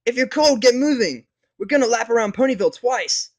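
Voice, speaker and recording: Brash, headstrong pony girl, bossy and righteous, high forceful delivery male actor, for fan audio drama. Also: animated, brisk. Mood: bossy, energetic. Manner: forceful, scolding, exclamatory. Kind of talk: fan fiction audio drama character acting.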